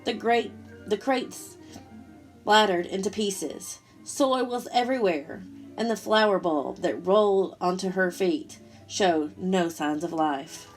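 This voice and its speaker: A woman storyteller reading a picture book aloud to children in an expressive way, talking directly to her listeners. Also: medium pitch, slow pace, soft background music. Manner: expressive, asks the listeners questions.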